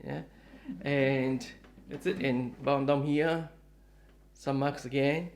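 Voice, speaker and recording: Fifties male host, rambling and friendly, mid pitch, lots of fillers. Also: fairly even intonation, slow, a little hiss or hum. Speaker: guitar dealer and channel host. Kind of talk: casual chat to camera. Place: home in Melbourne, Australia.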